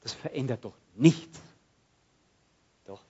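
A man preaching a sermon, swinging from loud outbursts to hushed, quiet passages and acting out prayers. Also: mid-range voice, expressive, takes it slowly, husky, highs cut off.

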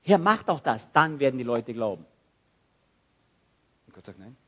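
A man preaching a sermon, swinging from loud outbursts to hushed, quiet passages and acting out prayers. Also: mid-range voice, animated, moderate pace, muffled recording.

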